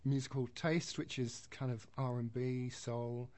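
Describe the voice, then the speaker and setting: A man's voice: medium pitch, steady tone, a little slow, darkish tone. A man who is a guest on a radio music show, introducing his track choices in a chatty, thinking-aloud way. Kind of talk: casual radio chat.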